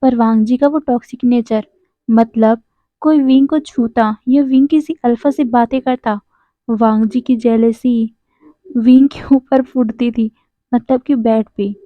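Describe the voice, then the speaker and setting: A hoarse woman with a sore throat tells a story to her viewers in a chatty, casual way, often turning aside to speak to them directly. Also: a little high, fairly even intonation, measured pace, no echo. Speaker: YouTuber retelling fan fiction. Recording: tinny phone-like dry recording.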